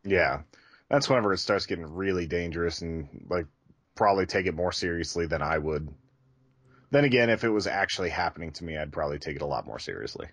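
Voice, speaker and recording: A man with a low voice and a dry, echo-free sound. He talks as a podcast co-host, rambling loosely through casual conversation. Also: lively intonation, fast, a bit bright, breathy edge. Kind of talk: casual chat.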